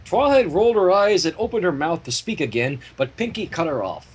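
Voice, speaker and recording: A man's voice: sits high, very animated, brisk, husky. A man narrating a fan fiction story as a streamer, with casual asides between passages.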